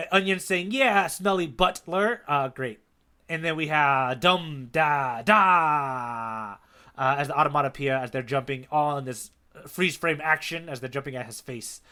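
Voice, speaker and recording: A man's voice: on the high side, bright, forceful tone, smooth. A man co-hosting a podcast, chatting casually and enthusiastically as he describes comic panels. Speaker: podcast co-host and tour guide.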